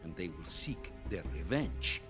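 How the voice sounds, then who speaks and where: A man voicing an ominous older statesman in a video game cutscene, speaking slowly, solemnly and formally. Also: deep voice, sing-song. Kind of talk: dramatic cinematic video game acting. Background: loud dramatic music.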